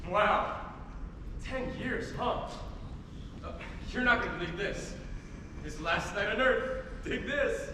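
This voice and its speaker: A man's voice: sits high, expressive, slow pace, pauses often. A man on stage playing an evasive, absent-minded jazz musician and father, hesitant and placating in slangy talk. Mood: tentative, enthusiastic. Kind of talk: theatrical stage acting. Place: school theatre stage. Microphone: distant room microphone. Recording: echoey hall, distant mic, noisy.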